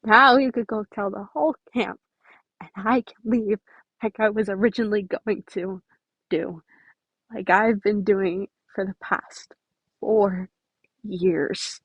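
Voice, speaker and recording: A woman's voice: medium pitch, fairly even intonation, slow, breathy edge, no echo, dull audio. A woman voicing a brooding, defensive teenage boy who is bitter and emotional in a story reading. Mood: tearful, bitter.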